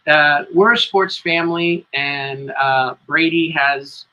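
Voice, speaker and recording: Bright-voiced middle-aged American man, slightly muffled. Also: fairly high pitch, slow pace. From Connecticut, United States. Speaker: guest, tribute band singer. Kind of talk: casual interview chat. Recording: dull lo-fi audio.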